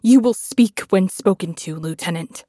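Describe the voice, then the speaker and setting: A woman's voice: medium pitch, unhurried, warm tone, slightly rough, no room sound, studio quality. A woman voicing a proud, wounded, furious woman commander in a fantasy audiobook, with a biting, defiant delivery. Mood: furious, commanding. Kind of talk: dramatic fantasy audiobook acting.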